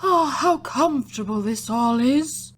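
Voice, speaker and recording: A woman voicing an anxious, doting and sentimental camel in a storybook, with a drawn-out, fussy, emotional delivery. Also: high voice, lively intonation, breathy edge, heavily acted. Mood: adoring.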